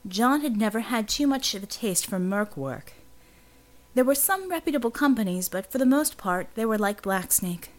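A woman's voice: fairly high pitch, lively intonation, normal speed. A woman reading scripted fiction as an audiobook narrator.

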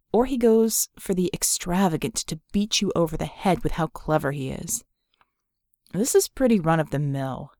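A woman's voice: sits low, darkish tone, no echo, clean studio sound, voice pushed down. A woman voicing a cool, assured, streetwise male operative in an audiobook, laid-back and matter-of-fact. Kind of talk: audiobook character dialogue.

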